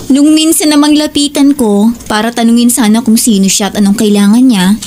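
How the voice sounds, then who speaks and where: Worried, caring wife and mother for horror audio drama, played by an actress; high female voice, slight background noise. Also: a little fast, warm tone, lightly acted. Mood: uneasy.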